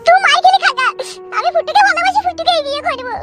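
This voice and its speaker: A performer voicing a stubborn, lovestruck teenage village girl in a comedy cartoon, with a pitch-shifted voice. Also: quick pace, bright, forceful tone, soft background music. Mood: defiant, lovestruck. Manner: insistent, defiant back-talk. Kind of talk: Bengali comedy cartoon dub.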